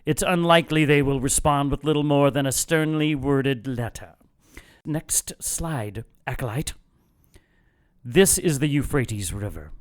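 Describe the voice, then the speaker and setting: An actor playing a fanatical cult leader in an audio drama, lecturing in a measured, contemptuous and gloating way. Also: male voice, mid-range voice, lightly acted. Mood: cold certainty. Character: fanatical cult leader brother plotting apocalypse, calm and contemptuous. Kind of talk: audio drama villain monologue.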